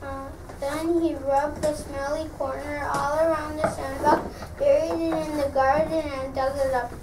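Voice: high-pitched, little pitch movement, measured pace. A young child reading story narration from a script in a school play. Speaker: pupil narrator in class play. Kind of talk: scripted read-aloud narration. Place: school classroom, indoors. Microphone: distant camera. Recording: echoey classroom, distant mic, noisy.

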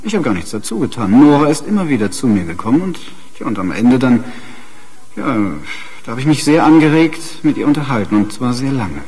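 A man's voice: mid-range voice, sing-song, fairly quick, a little mellow, lightly acted. An actor playing a pompous, needling middle-aged husband and father in a radio drama, condescending and self-righteous. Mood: needling, smug. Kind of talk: naturalistic radio drama acting.

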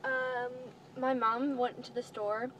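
Teenage female vlogger, higher-pitched voice, casual haul chat, noticeable noise inside a car. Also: level tone, unhurried, fairly bright tone. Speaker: vlogger showing a clothing haul. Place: inside a parked minivan. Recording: noisy phone-style recording inside a car.

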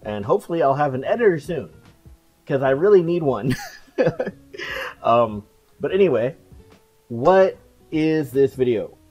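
Relaxed young voice with light laughs. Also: medium pitch, unhurried, long pauses, dull audio, faint music behind. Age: late 20s. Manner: chatty, relaxed, many fillers and soft laughs. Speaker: video creator. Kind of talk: casual talking-head vlog. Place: small cluttered room indoors. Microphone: headset.

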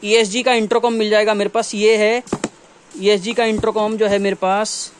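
North indian guy in his 30s showing off stock in his shop, highish voice, bit of noise behind him. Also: level tone, moderate pace. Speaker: shop dealer presenting products. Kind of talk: informal product showcase to camera. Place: small cluttered gear shop, indoors. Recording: faint shop background noise.

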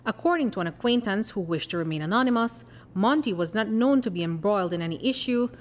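A woman with a Belizean accent reads a news report in an even, measured delivery. Her scripted narration is calm and steady throughout. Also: mid-range voice.

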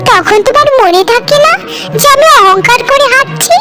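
Proud poor cartoon wife, played by a woman: resentful, sarcastic, high-pitched in the extreme, animated swings. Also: normal speed. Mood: angry. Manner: accusing, sarcastic. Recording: music behind the voice.

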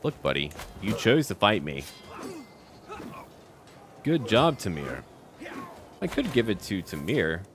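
Lively low-voiced man doing game commentary. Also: slow pace, pauses often, noisy background. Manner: talks to himself and to game characters in short musing remarks. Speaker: gaming video creator playing a strategy game. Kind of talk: casual let's play commentary.

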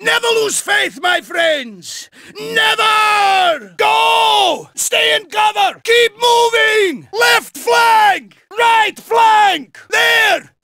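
An actor voicing a fierce Russian soldier prisoner leading a revolt in a video game, with a gravelly voice and emphatic, rousing delivery. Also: male voice, extremely high pitch, bright, forceful tone, very echoey, in a large hall, recorded from a distance, full character voice. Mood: commanding, fired-up.

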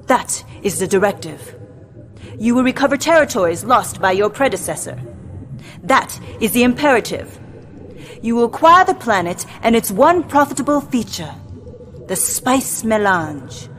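A young actress playing a cold, imperious female adviser in a science-fiction game drama. Her delivery is formal, clipped and commanding, over clear background music. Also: medium pitch, close to an everyday voice. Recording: music bed behind the voice.

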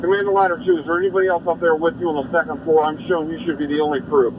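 A man commanding a fire scene speaks in short, clipped radio phrases. His voice sits slightly high and moves quickly. The sound is dull, caught on a dashcam with engine noise behind it. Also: flat delivery. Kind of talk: terse radio command traffic. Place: outdoor night fire scene, recorded from a vehicle dashcam. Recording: muffled recording with loud vehicle engine noise.

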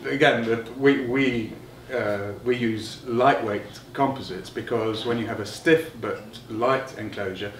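Middle aged guy explaining tech stuff, stops and starts, mic a bit far off, echoey room. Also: medium pitch. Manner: halting, thinking aloud with many pauses. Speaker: loudspeaker designer being interviewed. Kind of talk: technical explanation in conversation. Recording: roomy, distant mic, noticeable background noise.

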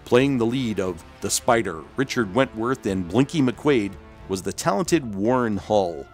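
A man's voice: fairly low pitch, animated, a little slow, a little mellow, faint music behind. A man hosting and narrating a scripted documentary-style video.

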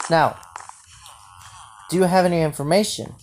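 A woman playing a bossy, easily startled king in an improvised game roleplay, haltingly repeating phrases. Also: on the low side, darkish tone, lightly acted. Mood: commanding. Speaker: video creator playing a roleplay. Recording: noisy home mic with clicks.

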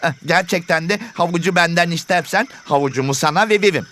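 A man's voice: fairly high pitch, fast talker, a bit bright, natural voice. A man voicing a patient rabbit pupil in an educational cartoon, earnest and reasoning. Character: patient rabbit pupil who loves carrots.

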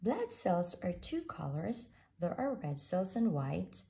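A woman reads a rhyming children's book aloud at an unhurried pace, her voice dipping and rising expressively. Her tone is dark and mellow with a gravelly edge of fry underneath. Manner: slow, expressive read-aloud with lively pitch. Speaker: storybook reader.

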